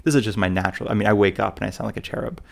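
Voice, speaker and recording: A man's voice: fairly low pitch, very fast, creaky. A man co-hosting a travel podcast in casual chat, rambling and joking at his own expense. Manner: rambling, self-mocking, full of fillers and restarts. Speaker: podcast co-host.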